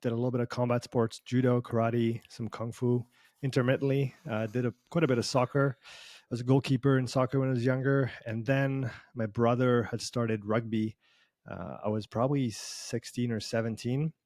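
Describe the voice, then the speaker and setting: A man's voice: mid-range voice, little pitch movement, moderate pace, a little mellow, no echo. A man from Switzerland with a Swiss accent that carries a slight Canadian sound, telling his story as a guest in a casual online interview, rambling along with many fillers. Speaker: performance coach guest. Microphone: video call.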